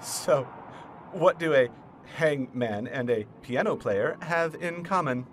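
Man playing nervy puzzle villain, smug, explanatory, highish pitch, faintly noisy recording. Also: expressive, slow. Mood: teasing. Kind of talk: comic fan dub acting.

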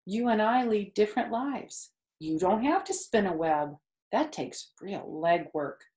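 A woman's voice: mid-range voice, very animated, measured pace, a little mellow. Woman doing a smart patient spider lady from a kids book, precise teacher type, bit echoey. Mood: coolly instructing. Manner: precise, matter-of-fact instructing. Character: clever, patient female spider, knowledgeable teacher.

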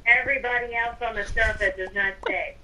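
A woman's voice: fairly high pitch, fairly even intonation, fairly quick, pushed and bright, a little hiss or hum. A woman playing a video game and chatting casually with some playful roleplay.

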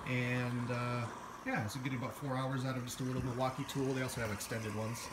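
A man's voice: medium pitch, little pitch movement, fairly quick, heavy background noise. A man giving a casual, unscripted show-and-tell of a hobby project, thinking aloud as he goes. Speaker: hobbyist maker presenting a project. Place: indoor room, workshop or office. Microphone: handheld phone or camera, operator behind it. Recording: music playing loudly over the voice. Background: radio music.

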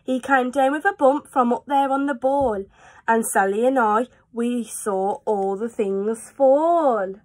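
A woman's voice: fairly high pitch, slow, darkish tone, low-quality recording. A woman in her twenties reading a children's storybook aloud like a teacher to pupils. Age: 20s. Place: small room at home, indoors. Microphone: phone at arm's length.

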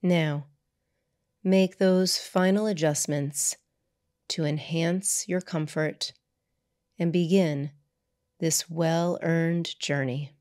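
A woman with a notably low voice narrates a guided meditation. Her delivery is very slow and measured, broken into short phrases with deliberate pauses. She sounds like a soothing yoga teacher settling listeners into rest. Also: steady tone, darkish tone. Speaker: yoga nidra teacher and channel host.

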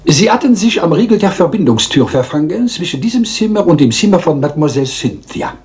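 An actor playing a small, self-assured Belgian detective with a French accent, theatrical and politely lecturing in a radio crime drama. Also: male voice, fairly high pitch, big pitch swings, a little mellow. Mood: explaining, confident. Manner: theatrical, deliberate, polite, lecturing, teasing rhetorical questions.

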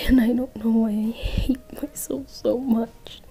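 A woman playing a tearful young person whispering to themselves in broken, hesitant phrases. The voice stays flat, dark and slow, with a hushed roleplay-story feel. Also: a little high. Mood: sad.

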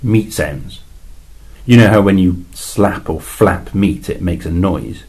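A weary alien envoy, voiced by a man, very low-pitched with a husky, dark tone. He explains things in a dry, matter-of-fact way, with flashes of exasperated emphasis, in a science fiction story read aloud. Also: takes it slowly, heavily acted.

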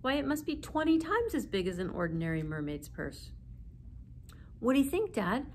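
A woman's voice: a little high, very animated, normal speed, a little mellow, slight background noise. A woman voicing a practical, kindly mother of young children in a storybook. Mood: wondering.

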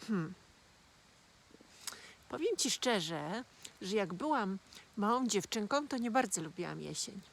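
A woman gently telling a children's fairy tale as a storyteller. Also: mid-range voice, animated, slow, vocal fry, long pauses.

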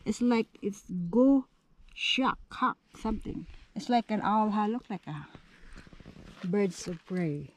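A woman's voice: medium pitch, animated, takes it slowly, a little hiss or hum, low-quality recording. A woman from the Philippines with a Filipino accent, chatting casually on a hiking vlog and admiring the view with short repeated exclamations, recorded outdoors on a phone. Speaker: vlogger. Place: outdoors on a canyon trail.

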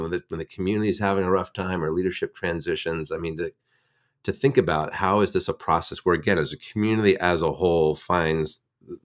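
A middle-aged man with a very low voice talks through an idea slowly and reflectively. He speaks in long, winding sentences, as a professor does in casual discussion. The sound is a video call from his home office. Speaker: seminary professor.

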